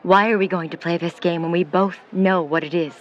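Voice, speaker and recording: A woman playing a protective, skeptical middle-aged mother in a 1950s New York audio drama, speaking in formal, measured, wordy sentences. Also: fairly low pitch, fairly even intonation, muffled recording, lightly acted. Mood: stern. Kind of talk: vintage-set audio drama acting.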